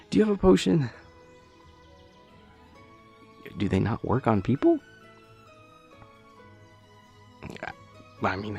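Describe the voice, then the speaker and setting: A man's voice: fairly low pitch, vocal fry, a little mellow. A young man playing a dorky, earnest boyfriend in an intimate audio roleplay, rambling hesitantly and nerdily with playful self-deprecation. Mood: amused, unsure. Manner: hesitant, rambling, nerdy explaining.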